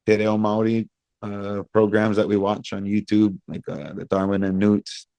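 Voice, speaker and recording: A man in his thirties from Hawaii, answering interview questions in a thoughtful, measured way with many pauses. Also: lowish voice, level tone, gravelly, a little mellow, dry recording. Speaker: guest, reggae singer. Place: outdoors by a pool among high-rise buildings.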